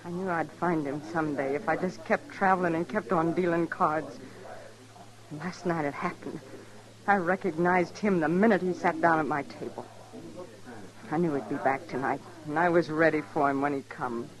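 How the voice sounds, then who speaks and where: An actress playing a vengeful Old West card dealer in a radio drama, hard and defiant. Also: female voice, low voice, steady tone, moderate pace, crowd noise, natural voice, poor audio. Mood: bitter, determined. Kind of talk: old-time radio western acting.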